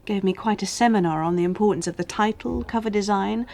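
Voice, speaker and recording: A woman's voice: medium pitch, fast talker, gravelly, a little mellow, close to an everyday voice. A woman acting in a radio drama as a guarded, candid escort with a wry, matter-of-fact way of talking. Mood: wry, impressed.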